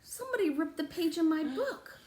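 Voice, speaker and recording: A woman teaching and reading a picture book aloud to young children, interactively, in an exaggerated, expressive way with drawn-out words. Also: extremely high pitch. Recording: echoey room with faint background noise.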